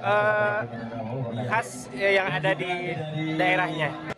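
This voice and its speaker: A man's voice: high-pitched, steady tone, extremely slow. A young man interviewed outdoors at an exhibition booth, hesitant with many fillers and restarts. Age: young adult. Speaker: local resident interviewed. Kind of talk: street interview. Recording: loud crowd noise outdoors. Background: busy crowd.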